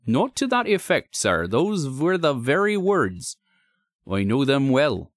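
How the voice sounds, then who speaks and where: A man's voice: mid-range voice, wildly swooping pitch, no echo, studio quality, pitched above the performer's own voice. Man playing a grave, haunted railway signalman. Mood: solemn, insistent. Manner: grave, halting, deferential. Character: troubled, anxious, courteous male railway signalman with grave manner.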